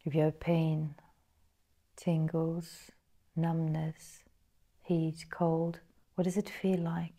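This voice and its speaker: A woman guiding a meditation in a soft, breathy, partly whispered voice, measured and full of pauses. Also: sits low, little pitch movement. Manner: slow, measured, pausing between phrases. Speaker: meditation guide. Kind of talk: guided meditation narration.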